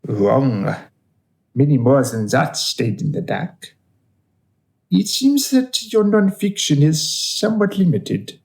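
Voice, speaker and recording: An actor as a dead serial killer in a radio drama. His highish voice is gravelly and very slow, swinging widely in pitch. He sounds boastful, sneering and theatrically menacing. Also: expressive, a little mellow, full character voice.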